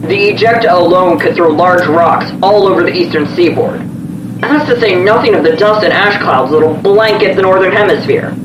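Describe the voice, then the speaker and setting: An actor playing an alarmed, sardonic government official in an audio drama, incredulous and emphatic. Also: male voice, sits high, normal speed, close to an everyday voice. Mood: urgent, grim. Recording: roomy, distant, dull, noisy vehicle ambience.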